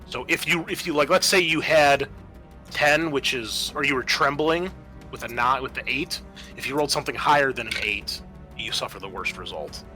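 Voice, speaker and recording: A man acting as game master, halting and informal as he explains rules and narrates a tabletop roleplaying game. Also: mid-range voice, sharp tone, rough recording. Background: music.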